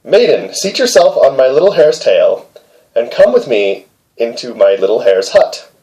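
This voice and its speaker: A man's voice: mid-range voice, big pitch swings, normal speed, warm tone, lightly acted, rough recording. A man voicing a little male hare from a storybook, an insistent suitor who coaxes with repeated commands. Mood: coaxing. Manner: coaxing, repetitive commands.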